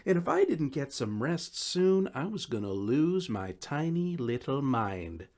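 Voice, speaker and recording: A man reading a scripted first-person story in a wry, conversational, expressive way. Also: medium pitch, measured pace. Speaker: audiobook narrator.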